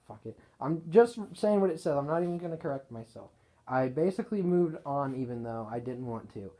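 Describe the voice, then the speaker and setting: A man reading his own written story aloud in a casual way, halting and correcting himself as he goes. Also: medium pitch, warm tone.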